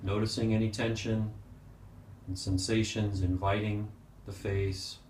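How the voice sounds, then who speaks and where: A man leading a guided meditation as a teacher, speaking gently and unhurriedly with pauses. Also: fairly low pitch, flat delivery, a little hiss or hum. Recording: roomy, echoing sound.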